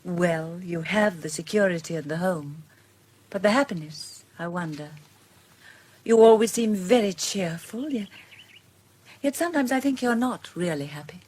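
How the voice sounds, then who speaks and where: A gentle middle-aged British woman in a period radio play. Her voice is low and slightly husky. She speaks tenderly and earnestly, shaping each word with care. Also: expressive, normal speed. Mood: tender, probing. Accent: British English. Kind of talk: period radio drama acting.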